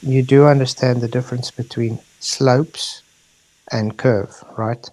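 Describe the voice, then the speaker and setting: A man's voice: medium pitch, fairly even intonation, a little slow, darkish tone, poor audio. A man from South Africa hosting a heated online debate and pressing for yes or no answers. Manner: insistent, pressing questions.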